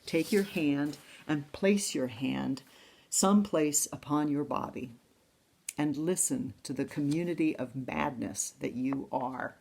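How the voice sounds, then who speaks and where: A woman with a low voice and a gravelly edge preaches a sermon. She reads poetry slowly and intimately, and her tone stays reflective throughout. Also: darkish tone. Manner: slow, reflective, intimate reading voice. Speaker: pastor. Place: church service, streamed online.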